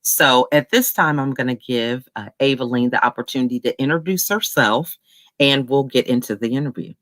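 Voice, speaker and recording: A woman's voice: a bass voice, moderate pace, a bit bright, no room sound. A woman hosting a video-call interview, upbeat and chatty, with a few filler words. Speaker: host. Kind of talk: casual interview chat.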